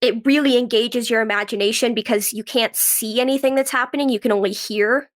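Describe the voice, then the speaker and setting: High-pitched young woman with a cold. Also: steady tone, a little fast. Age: 20s. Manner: youthful, conversational, peppers talk with "like". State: has a cold. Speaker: guest, actress and writer. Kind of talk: casual interview chat.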